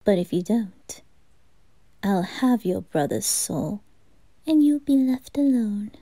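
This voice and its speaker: A woman's voice: mid-range voice, animated, slow, warm tone, dry recording. A woman voicing a haughty, bratty teenage aristocrat boy in an anime ASMR roleplay, imperious and mocking. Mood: smug, taunting.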